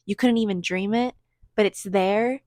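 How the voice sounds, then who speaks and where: A woman's voice: mid-range voice, moderate pace, darkish tone, dry recording, clean studio sound. A woman in her twenties chatting casually to a phone camera in a vlog, rambling with lots of "like" fillers. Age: 20s. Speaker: vlogger. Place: indoors and in a car.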